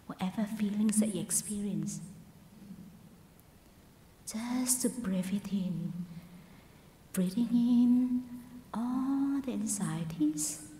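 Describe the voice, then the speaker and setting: Slow, soft woman guiding meditation, roomy hall. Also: mid-range voice. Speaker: meditation teacher, Buddhist nun. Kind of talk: guided meditation. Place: large meditation hall at a Buddhist centre. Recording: roomy hall reverb, faint background noise.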